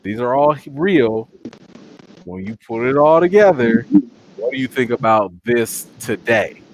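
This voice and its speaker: A man's voice: measured pace, a little hiss or hum, low-quality recording. A man, a movie reviewer as interview guest, talking in a casual, passionate way. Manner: animated, passionate, jumps up in pitch for quoted voices. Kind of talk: casual conversation.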